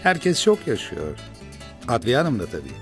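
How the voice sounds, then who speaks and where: An actor in his forties playing a composed wealthy businessman who talks his half-sister into a short break from the press, in a measured, persuasive way. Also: medium pitch, huge pitch swings, soft background music. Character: composed wealthy businessman, protective older brother. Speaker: older brother in a rich family. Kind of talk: television drama acting. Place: office set.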